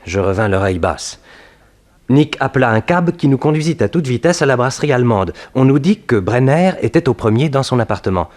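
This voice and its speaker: An actor plays a detective's earnest male sidekick narrating a vintage French radio adventure. His mid-range voice tells the story in a measured, scripted and dramatic way. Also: mid-range voice, a little mellow, lightly acted. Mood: wry, tense.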